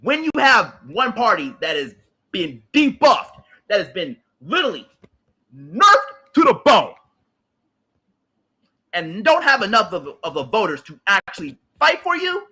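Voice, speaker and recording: A man's voice: sits high, sharp tone, husky, low-quality recording. A man, hosting a livestream and ranting about politics in a heated, emphatic, repetitive way with blunt swearing. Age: late 30s. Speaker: livestream host.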